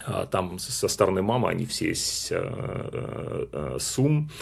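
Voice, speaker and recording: A man's voice: low voice, sing-song, unhurried, creaky, husky. A man from Russia talking in a casual, reflective monologue, hesitant and full of fillers and restarts. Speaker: speaker on personal identity.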